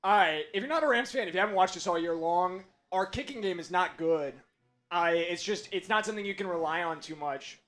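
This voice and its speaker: Fan play-by-play man, high voice, hoarse from screaming, hushed strain between shouted big plays, streaming from a desk mic. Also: fairly quick, soft background music. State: losing his voice from screaming. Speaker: watch party play-by-play host. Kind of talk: excited fan play-by-play on a livestream.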